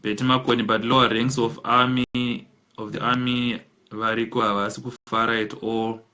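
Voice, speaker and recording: A man's voice: medium pitch, little pitch movement, moderate pace, pushed and bright. A man with a Zimbabwean accent hosting a live call-in show, reading out viewer comments and mixing English with Shona. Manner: reads out comment names, switches between English and Shona.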